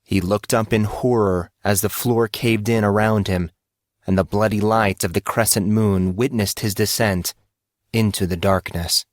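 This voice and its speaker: A man's voice: fairly low pitch, steady tone, measured pace, no room sound, studio quality. A man narrating a fantasy story in a dramatic, scripted audiobook style.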